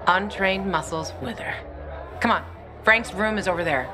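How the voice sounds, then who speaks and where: A woman's voice: medium pitch, moderate pace, background noise, close to an everyday voice. An actress playing a tough, teasing young female fighter in a video game cutscene. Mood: brisk, wry. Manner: blunt, teasing. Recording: music and crowd behind.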